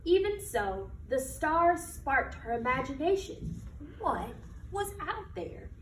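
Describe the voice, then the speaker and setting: An American woman reading a picture book aloud to children like a teacher, with expressive, emphatic delivery and questions to her listeners. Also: high-pitched, slow pace. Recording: echoey room, distant mic, background noise.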